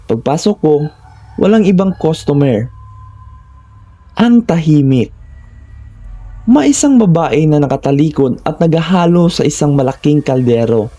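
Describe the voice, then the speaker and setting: A man narrating a scripted first-person horror story in short, measured phrases. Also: a little high, expressive, dark tone, faint music behind.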